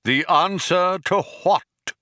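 A man's voice: high-pitched, slow pace, sharp tone, dry recording, clean studio sound, pitched above the performer's own voice, exaggerated voice. A man voicing an eager, easily flustered computer programmer in a story, urgent and breathless. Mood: eager, awed.

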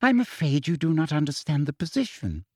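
A man voicing a prim, falsely sweet female school inspector who turns shrill and menacing. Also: big pitch swings, a little fast, mellow, husky, dry recording, studio quality.

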